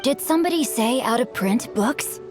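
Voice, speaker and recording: Well-mannered literary lad played by a woman, lightly high voice, formal game delivery, faint music underneath. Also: animated, moderate pace, natural voice. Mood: courteous, eager. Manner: courteous, flowery, formal. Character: polite, bookish teenage boy, refined young martial-arts enthusiast. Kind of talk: video game voice acting.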